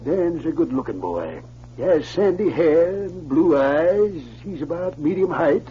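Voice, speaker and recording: A kindly old prospector in a 1940s radio play. The voice sits fairly high and swings widely, with a hesitant, homespun delivery. The recording is muffled with some hiss. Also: male voice, lively intonation, unhurried. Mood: warm, curious. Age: older. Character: kindly, trusting old prospector uncle with bad eyes.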